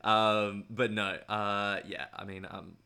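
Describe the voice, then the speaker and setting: A man talking casually as an interview guest, in a halting, rambling way with a self-amused air. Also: fairly low pitch, little pitch movement, creaky, pushed and bright, clean tone.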